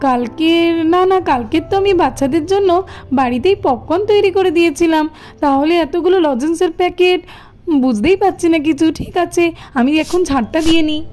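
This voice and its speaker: A woman's voice: very high-pitched, brisk, a little mellow, soft background music. A woman voicing a caring mother who chats to herself while tidying, in a cartoon story. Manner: chatty, talks to herself. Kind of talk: cartoon voice acting.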